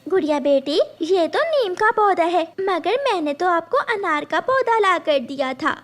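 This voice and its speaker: Woman as a high-voiced, motherly bird. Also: animated, measured pace. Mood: surprised. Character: caring adult mother bird, gentle, patient teacher. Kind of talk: children's cartoon story voice acting.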